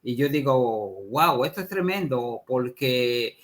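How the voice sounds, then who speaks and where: A man's voice: medium pitch, expressive, slow pace, a bit bright. A man from Puerto Rico with a Puerto Rican accent, hosting a conversational interview, enthusiastic and affirming. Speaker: host.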